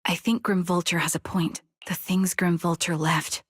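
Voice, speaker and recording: A woman's voice: fairly low pitch, level tone, moderate pace, breathy edge, dry recording, clean studio sound. An actress playing a stoic, stubborn woman soldier in a game, speaking in a terse, controlled, serious way. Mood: somber. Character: stoic, guarded female veteran soldier with stubborn resolve. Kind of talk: dramatic video game voice acting.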